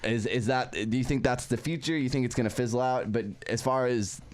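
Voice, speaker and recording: A man's voice: medium pitch, a little fast. A young man hosting a casual interview, rambling and self-deprecating, swearing freely and laughing often. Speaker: interview host.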